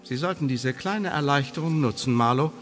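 An actor playing an imperious, sardonic secret service interrogator in a radio drama, speaking in a pompous, mocking and formal way. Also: male voice, mid-range voice, moderate pace, fairly bright tone, faint music behind, lightly acted. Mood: menacing. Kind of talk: German radio drama acting. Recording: studio radio play with long reverberation.

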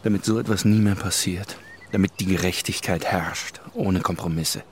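A man acting a grim, embittered policeman in a radio drama, speaking in sombre, halting phrases. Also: deep voice, steady tone, a little hiss or hum. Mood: grimly resolute. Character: grim, embittered policeman haunted by his sister's fate. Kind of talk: German radio drama acting, intimate confession.

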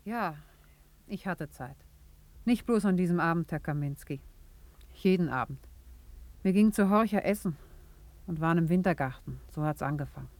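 A woman's voice: fairly low pitch, lively intonation, moderate pace, pauses often, a little mellow, no echo, close to an everyday voice. An actress playing a shy, guilt-ridden young office woman, earnest and emotional, in a 1950s German radio play. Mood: wistful.

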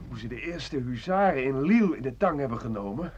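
An actor playing a rough, talkative soldier turned drifter, world-weary and colloquial, in a Dutch radio play. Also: male voice, medium pitch, lively intonation, a little fast, a little mellow, close to an everyday voice. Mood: excited, gossiping. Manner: colloquial, hesitant, chatty storytelling. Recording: dull, noisy old radio recording.

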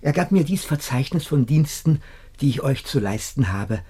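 Dark, husky, humble manservant. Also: male voice, medium pitch, expressive, normal speed. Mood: dutiful. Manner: deferential, subdued. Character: loyal, humble manservant to nobility. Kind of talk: classic German radio play acting.

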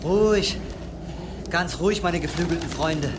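An actor playing a timid, superstitious monk in a German audio drama, nervous and prone to murmuring. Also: male voice, a little high, unhurried, very noisy, natural voice. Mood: gentle, hurried. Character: timid, superstitious monk who tends the pigeons.

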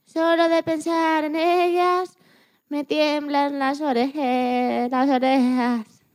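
Woman as a timid sheep, high and very slow. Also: flat delivery, darkish tone. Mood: fearful, helpful. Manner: hesitant, drawn-out delivery. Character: timid, helpful female sheep, easily frightened. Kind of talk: radio play for children.

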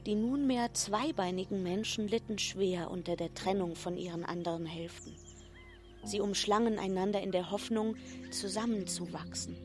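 A woman reading scripted narration in a measured, explanatory manner. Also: medium pitch. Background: music.

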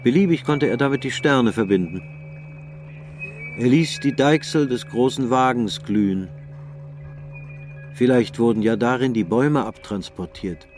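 A man narrating a radio drama in a measured, sober way. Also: mid-range voice, a little mellow, soft background music.

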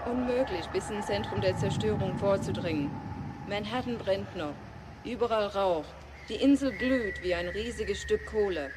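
An actress playing a shaken eyewitness reporter in a radio drama, describing a destroyed city gravely. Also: female voice, mid-range voice, normal speed, close to an everyday voice. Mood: grim, shaken. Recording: clearly noisy, traffic-like ambience.

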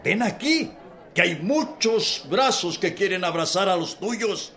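An actor playing the orator who opens a revolutionary convention, speaking in a slow, declamatory way in a radio drama. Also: male voice, sits high, animated, fairly bright tone, slight background noise, exaggerated voice. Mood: rousing.

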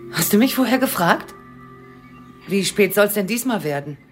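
A woman's voice: medium pitch, lively intonation, normal speed, over music, close to an everyday voice. An actress playing an anxious, evasive middle-aged mother in a radio crime drama, with a natural, nervy, placating manner. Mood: distracted. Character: anxious, evasive small-town mother and cat owner.

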